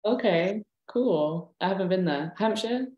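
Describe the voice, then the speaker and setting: A woman's voice: medium pitch, expressive, a little slow, reverberant, highs cut off. A woman from Southeast London with a London English accent, asking a study-group question hesitantly, full of "like" and "um". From Southeast London, England. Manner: hesitant, many "like" and "um" fillers. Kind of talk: casual question.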